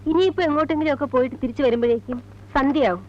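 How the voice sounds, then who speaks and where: A woman's voice: high-pitched, very fast, natural voice. A middle-aged actress playing a strict, anxious, wounded mother in a Malayalam film drama, speaking in a firm, emotionally weighted way. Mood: questioning. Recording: dull old film soundtrack with music.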